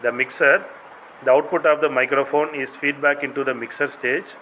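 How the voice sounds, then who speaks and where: Bright-voiced Indian man lecturing over noise. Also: medium pitch, steady tone, normal speed, rough recording. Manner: didactic, non-native English phrasing. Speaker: college lecturer. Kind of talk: explanatory lecture.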